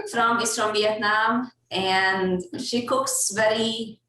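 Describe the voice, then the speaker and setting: A woman's voice: on the high side, extremely slow, sharp tone. A woman from Hungary with a Hungarian accent, a religious sister chatting casually with many fillers and easy laughter. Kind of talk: casual group chat. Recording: very echoey room, distant mic, faint noise.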